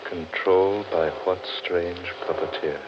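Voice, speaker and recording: A grim, brooding man lost in a haunted forest, very deep-voiced and ominous. He speaks in the theatrical manner of 1940s radio horror, on a muffled and noisy old recording. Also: steady tone, unhurried. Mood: morbid, ominous.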